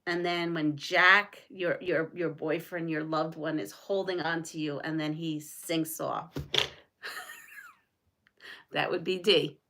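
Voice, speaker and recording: A woman's voice: sits low, steady tone, slow, bright, forceful tone. A woman from Rico, hosting a live quilting stream. She chats with viewers, reads out comments and quiz questions, and laughs often. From Puerto Rico. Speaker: quilting channel host.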